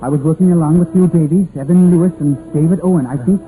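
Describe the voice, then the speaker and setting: An actor playing a shaken, hurried miner in an old radio drama. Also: male voice, fairly high pitch, dark tone, close to an everyday voice. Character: shaken, hurried Welsh miner, lucky escapee. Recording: muffled old radio broadcast.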